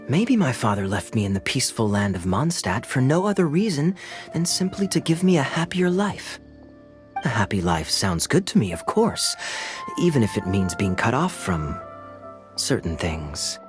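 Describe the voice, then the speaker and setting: A man acts out a sly, charming knight captain from a fantasy video game. His delivery is smooth and playful, with lots of melodic rise and fall. He teases with polished theatrical ease over soft background music. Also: medium pitch, natural voice. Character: suave, teasing young knight captain with secrets.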